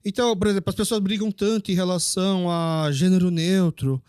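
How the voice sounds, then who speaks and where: A man hosts a relaxed sit-down conversation. He has a notably high voice for a man. He tells his stories with lively, acted-out dialogue, and the sound is close and dry. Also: brisk, clear, resonant tone, no echo. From Brazil. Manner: animated storyteller who acts out dialogue. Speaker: podcast host. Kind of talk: casual conversational interview.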